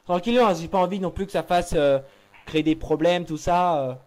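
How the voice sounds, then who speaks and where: A man's voice: high-pitched, brisk, noisy background. A young man improvising a prank phone call, feigning seriousness in a hesitant but insistent way. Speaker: prank caller.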